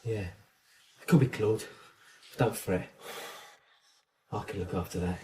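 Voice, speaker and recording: A man's voice: lowish voice, unhurried, a little mellow, lightly acted. An actor playing a jumpy, furtive criminal in a radio drama, nervy and grumbling as he talks. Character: jumpy, furtive male criminal fearing he is followed. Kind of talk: radio crime drama acting. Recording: echoey, distant from mic, noisy ambience.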